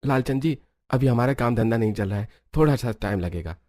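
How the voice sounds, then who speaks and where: A man's voice: mid-range voice, expressive, fairly quick, mellow, close to an everyday voice. A man playing a poor, indebted village husband in a cartoon story, meek, grumbling and plaintive. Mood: meek, placating. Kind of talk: Hindi cartoon story acting. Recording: music behind the voice.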